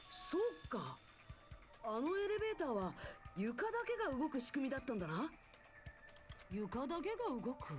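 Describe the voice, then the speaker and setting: Earnest teen boy investigator, anime game style, lively and very quick. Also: high voice, faint music behind. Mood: earnest deduction. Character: earnest teenage boy reasoning through a mystery. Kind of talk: Japanese game voice acting.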